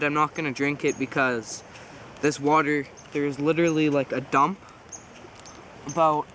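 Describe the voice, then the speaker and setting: A man's voice: a little high, slight background noise. A man with a head cold casually demonstrating survival gear outdoors, rambling and correcting himself as he goes. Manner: relaxed, rambling, self-correcting. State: congested with a cold. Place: outdoors by a pond.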